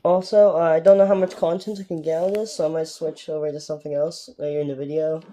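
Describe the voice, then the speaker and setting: A young man from Canada giving rambling, casual gameplay commentary with a sore, strained throat, recorded on a phone filming a TV in a dark room at home. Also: a little high, flat delivery, fast talker. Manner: rambling, keeps asking "alright?". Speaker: gaming YouTuber.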